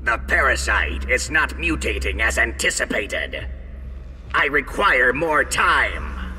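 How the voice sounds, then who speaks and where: An actor playing an arrogant, megalomaniac mutant mad scientist in a video game, pompous, theatrical and given to grandiose ranting. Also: male voice, mid-range voice, big pitch swings, slow pace, full character voice. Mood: cold, impatient. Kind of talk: video game villain acting. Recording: loud game music behind.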